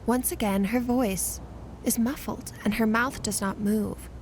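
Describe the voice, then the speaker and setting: A woman's voice: on the high side, fairly even intonation, a little slow, slight background noise, low-quality recording. A woman reading scripted story narration for an audio drama as the narrator. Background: music.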